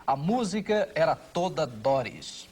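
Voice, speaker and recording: A man's voice: on the high side, sing-song, takes it slowly, a little mellow, breathy edge. A man reading scripted television documentary narration with a wry, literary delivery.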